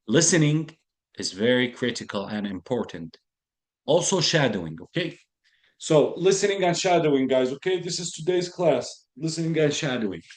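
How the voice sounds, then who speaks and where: A man's voice: fairly high pitch, lively intonation, normal speed. A man teaching an online English class, patiently repeating key phrases and explaining idioms, speaking into a computer mic. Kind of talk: online lesson, explaining slowly. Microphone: computer mic on a video call, camera off.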